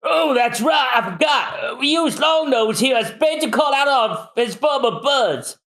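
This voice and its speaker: A man voicing a brash, hot-headed male shipwright gang boss in a loud, high, shouting voice. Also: animated, a little slow, reverberant, heavily acted. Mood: excited.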